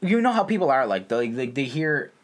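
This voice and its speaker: A man's voice: mid-range voice, sing-song, a little fast, slight background noise. A man chatting casually and opinionatedly as a podcast co-host, in slangy, informal speech. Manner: informal, slangy, explains by acting out imagined reactions.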